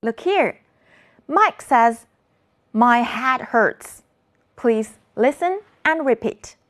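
Slow, lively woman teacher. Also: on the high side. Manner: slow, lively teacher voice, modeling words to repeat. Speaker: English teacher. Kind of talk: classroom lesson for children.

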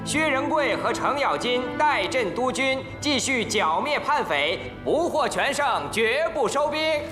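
A man's voice: very high-pitched, lively intonation, unhurried. A man acting an imperial herald in a historical drama, ceremoniously declaiming edicts. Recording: echoey hall, music behind.

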